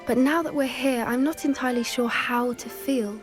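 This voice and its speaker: An actress playing an earnest young female student who loves magical creatures, speaking in a soft, thoughtful, sometimes hesitant way in video game dialogue. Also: sits high, steady tone, normal speed, music in the background, natural voice. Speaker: game character.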